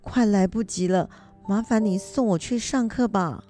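A woman's voice: mid-range voice, normal speed, dark tone, faint music behind, lightly acted. A woman playing an eager, modest old grandmother who goes to school, in a children's radio drama, chatty and earnest. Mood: insistent.

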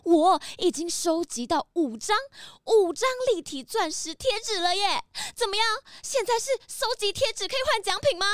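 A gushing, squeaky-high rich lady pelican. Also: animated, normal speed, few pauses, no room sound, studio quality. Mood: indignant, whiny. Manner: gushing, coy, dramatic. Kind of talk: children's audio drama acting.

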